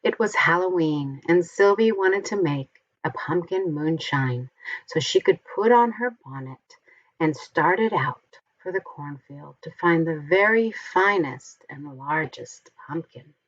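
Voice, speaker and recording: A woman reads a children's story aloud in an expressive, deliberate way. Her voice is on the low side and husky, and she takes her time over each phrase, enunciating for young listeners. The recording is a little dull. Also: dry recording. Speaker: storybook reader.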